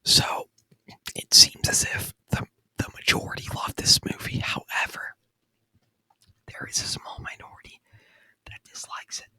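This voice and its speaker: A man's voice: a little slow, pauses often, pushed and bright, no echo, rough recording. Whispering man, close and dry. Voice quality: breathy unvoiced whisper. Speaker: ASMR video host. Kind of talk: whispered ASMR movie review. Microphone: close microphone.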